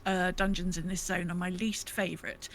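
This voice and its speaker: A woman's voice: fairly low pitch, level tone, moderate pace. A woman co-hosting a podcast, chatting casually and reading out listener comments with gushing enthusiasm. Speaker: podcast co-host.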